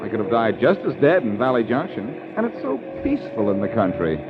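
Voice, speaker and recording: A man playing a cocky, sardonic amateur detective, calm under threat, in 1940s radio acting style. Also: medium pitch, fairly quick. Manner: sardonic, teasing. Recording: muffled old radio recording, background din.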